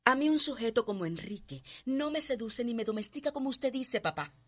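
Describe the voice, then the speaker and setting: An actress playing a haughty, headstrong young planter's daughter in a radio drama, with a Cuban accent and an imperious, cutting manner. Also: female voice, fairly high pitch, animated, fairly quick, soft background music. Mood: cool, defiant.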